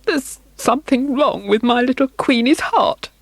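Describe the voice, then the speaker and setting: A woman voicing an anxious, loving mother in a storybook, high and pleading. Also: a little slow, dry recording. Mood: worried.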